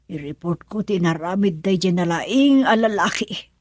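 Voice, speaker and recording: A woman's voice: lowish voice, animated, moderate pace, no echo, clean studio sound. A woman playing an angry, worried mother in an Ilocano radio drama, scolding in an agitated way. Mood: furious, fretting. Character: angry, worried mother of a runaway grown daughter.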